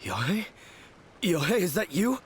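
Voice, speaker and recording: A man's voice: high-pitched, sing-song, a little slow, a little hiss or hum. Shaken, swooping young swordsman. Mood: dazed, frightened. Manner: plaintive, shaken. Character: weak, timid young male would-be swordsman. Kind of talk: video game voice acting.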